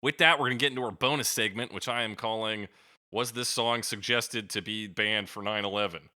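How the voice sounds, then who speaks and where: Male podcast host, low-pitched and sharply bright, chatting casually with slangy swearing and jokes. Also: fairly quick. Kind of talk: casual chat.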